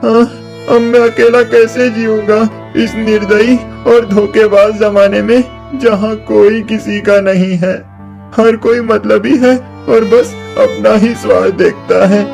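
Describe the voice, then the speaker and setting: A man's voice: high voice, little pitch movement, unhurried, vocal fry, darkish tone, faint music behind. A man voicing a poor, earnest, plaintive young orphan boy in a moral-story cartoon. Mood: sorrowful.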